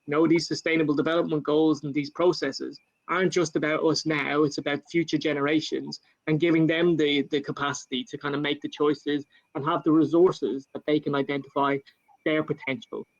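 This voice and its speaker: An Irish man speaks on a panel in a fairly high, flat voice that barely rises or falls. He talks in a measured way, pausing on fillers and restarting phrases. The sound comes over a video call and is dull and lo-fi. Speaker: panel speaker for a national government. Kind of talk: panel discussion answer.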